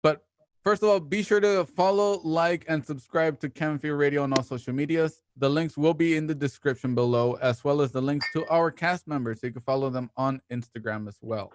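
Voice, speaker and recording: A man's voice: on the high side, brisk. A man hosting a casual live show, talkative and playful, reading promos and dropping in rambling asides. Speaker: host.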